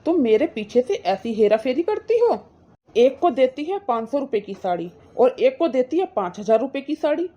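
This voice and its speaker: The recording is dull and slightly muffled. A woman acts out an indignant brother from a cartoon moral story, defending his sisters in a high, mellow voice. The delivery is reproachful and insistent, full of pointed questions. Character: indignant protective brother scolding his wife.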